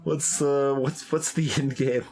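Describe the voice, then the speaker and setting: A man giving casual, rambling commentary as he plays a game, halting and thinking aloud as he speculates, with game music behind him. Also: medium pitch, fairly even intonation, a little mellow. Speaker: streamer doing a blind voiced playthrough. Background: video game music.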